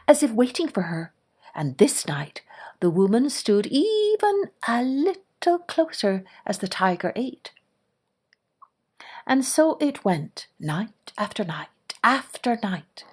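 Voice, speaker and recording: A woman narrating a scripted children's story in an expressive way, dropping to a hush for suspense. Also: mid-range voice. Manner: expressive, hushed at suspenseful moments. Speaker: storyteller, podcast host.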